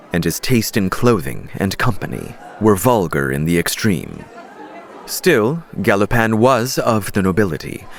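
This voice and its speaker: Male narrator for fiction audiobook: lower-pitched, lively intonation, storytelling read. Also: creaky.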